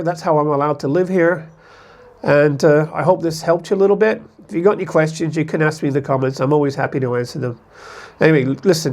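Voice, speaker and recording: Man, somewhat high-pitched, casual expat vlog, conversational, self-correcting. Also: darkish tone. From United States. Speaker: expat vlogger. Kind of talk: casual talk to camera.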